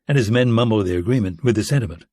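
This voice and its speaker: A man narrating a thriller audiobook dramatically, with a gritty, expressive delivery that acts out dialogue. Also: on the low side, racing, no echo, clean studio sound, close to an everyday voice.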